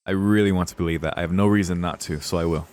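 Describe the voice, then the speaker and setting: Deep-voiced man doing casual let's play commentary. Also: steady tone, rapid-fire, darkish tone. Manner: foul-mouthed, informal, emotionally invested. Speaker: streamer playing and reading a visual novel. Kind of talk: casual let's play commentary mixed with reading game text aloud.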